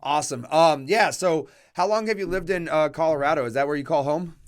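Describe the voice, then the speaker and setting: Laid-back guy hosting a podcast, friendly, kinda rambly questions, a bit high-pitched. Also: fairly quick. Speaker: podcast host. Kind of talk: casual interview chat.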